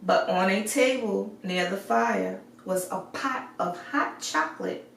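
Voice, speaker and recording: A woman reading a fairy tale aloud as storyteller, measured and expressive, in an echoey recording. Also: medium pitch, gravelly, a little hiss or hum. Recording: echoey room, far from the mic.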